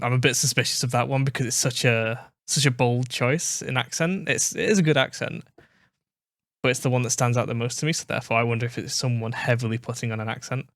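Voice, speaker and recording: A man's voice: medium pitch, fairly even intonation, brisk, a bit bright, dry recording, clean studio sound. A British man hosting a reaction video, chatting casually and thinking aloud with fillers and restarts.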